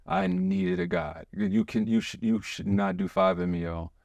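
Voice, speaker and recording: A man hosting a podcast in an excitable, rambling way, full of restarts and fillers. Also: deep voice, moderate pace, creaky, no echo. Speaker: podcast host. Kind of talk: casual chat.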